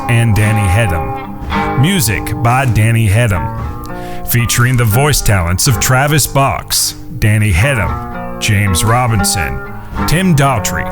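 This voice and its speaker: Male credits announcer over loud guitar. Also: mid-range voice. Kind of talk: scripted credits narration. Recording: loud guitar music behind the voice. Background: guitar music.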